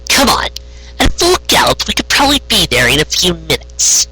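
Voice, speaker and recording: A man's voice: sits high, big pitch swings, moderate pace, bright, forceful tone, breathy edge, dry recording, pitched above the performer's own voice, exaggerated voice, rough recording. A man voicing a haughty, impatient young female unicorn magician in a story, with dry, accusing delivery. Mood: anxious, impatient.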